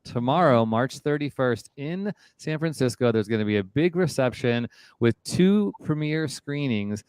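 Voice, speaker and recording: A man's voice: medium pitch, animated, a little slow, darkish tone, smooth, dry recording. A man hosting a live web show from his office headquarters, upbeat and encouraging. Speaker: host.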